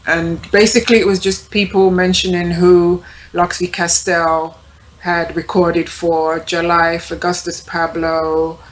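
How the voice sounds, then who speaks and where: A woman's voice: on the low side, little pitch movement, a little slow, rough recording. A woman on a radio panel, speaking casually in a polite, hesitant manner as she relays listener comments. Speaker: panelist reading chat comments.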